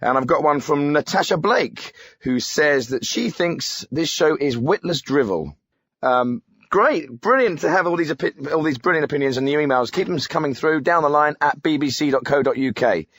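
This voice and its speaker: Casting: glib, self-righteous spoof radio host, slightly high voice, prone to stammer, close dry studio sound. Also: male voice, expressive, normal speed, a bit bright, dry recording. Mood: brisk, presenterly. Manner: stammering when challenged, glib. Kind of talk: spoof radio phone-in presenting.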